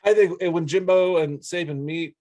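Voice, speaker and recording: A man's voice: sits high, moderate pace, dark tone, dry recording. A man co-hosting a sports podcast, recapping news and giving blunt, informal opinions in casual talk.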